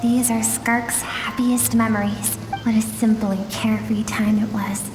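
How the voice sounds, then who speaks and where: A woman plays a gentle, mysterious guide telling memories in a video game. Her voice is slightly high, soft and wistful, with deliberate phrasing. It rings with heavy echo over clear music. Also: level tone, natural voice. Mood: wistful, nostalgic. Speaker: narrator and guide. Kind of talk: dramatic storytelling in a video game. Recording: echoing hall reverb with music.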